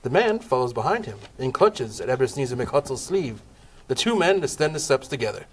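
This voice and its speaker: A man's voice: mid-range voice, big pitch swings, fairly quick, slight background noise. A man narrating a comic table read of a Christmas play, wry in tone. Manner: wry, laughs at the cast.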